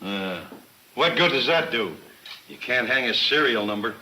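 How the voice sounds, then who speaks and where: A man's voice: medium pitch, lively intonation, normal speed, sharp tone, slight background noise. A man playing a skeptical, impatient mayor, blustery and dismissive, in 1940s crime serial style. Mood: scoffing. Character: skeptical, impatient male mayor scoffing at police methods. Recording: echoey old 1940s film soundtrack.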